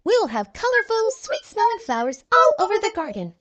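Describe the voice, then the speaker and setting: A woman's voice: extremely high pitch, very animated, a little fast, a bit bright, dry recording, dull audio, voice pushed up, exaggerated voice. A woman voicing a cheerful, enthusiastic talking garden shovel in a children's storybook. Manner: chirpy, enthusiastic.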